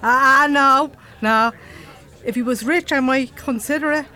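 A woman's voice: high voice, measured pace, pushed and bright. A woman playing a flirtatious, chatty barmaid with an Irish accent in a radio drama, playful and teasing.

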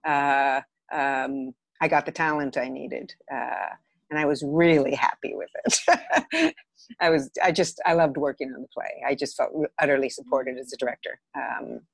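Woman, American accent, low pitch, dry recording, casual interview guest, storytelling. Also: a little slow, creaky, slightly rough, no room sound. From Los Angeles area, United States. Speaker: podcast guest, theatre director. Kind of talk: casual interview, telling career stories.

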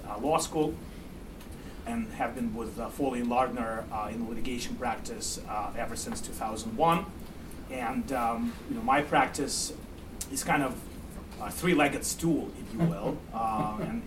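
A man in his forties with a Russian-Ukrainian accent, giving a university lecture in a halting way, full of fillers and repeats. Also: medium pitch. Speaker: guest lecturer. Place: lecture room. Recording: distant mic, room noise.

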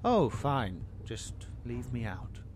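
A man's voice: mid-range voice, very animated, takes it slowly, darkish tone, a little hiss or hum. A man acting as a proud, touchy starship engineer with a self-satisfied, showy way of talking, in a sci-fi audio drama. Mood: miffed. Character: boastful, touchy male starship lead engineer.

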